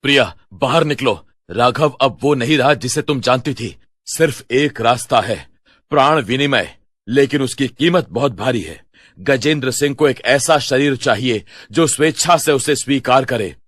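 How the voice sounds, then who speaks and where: A man's voice: medium pitch, normal speed, a bit bright, no echo, studio quality, natural voice. A man playing a solemn occult holy man who gives ominous, urgent warnings in a horror audio story. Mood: grave warning.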